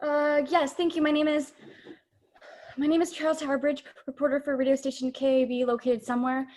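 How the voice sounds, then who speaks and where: A woman's voice: high-pitched, level tone, fairly quick, a little mellow, close to an everyday voice. A young woman playing a flustered, polite radio news reporter in a spoof radio play, hesitant and stumbling. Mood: flustered, formal. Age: teens to young adult. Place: bedroom, video call.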